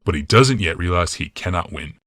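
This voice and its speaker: A man voicing a cold, scheming commander in an audiobook, speaking in a measured, quietly sinister and commanding way. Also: sits low, lively intonation, no echo, clean studio sound, full character voice. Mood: gloating. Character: cold, scheming male commander and healer, smugly menacing. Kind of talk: audiobook character dialogue.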